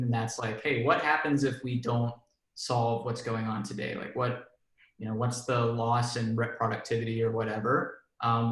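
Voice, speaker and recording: A man's voice: medium pitch, echoey room, poor audio. A man on a career panel, talking casually with lots of fillers and a self-deprecating tone. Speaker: panelist, enablement professional.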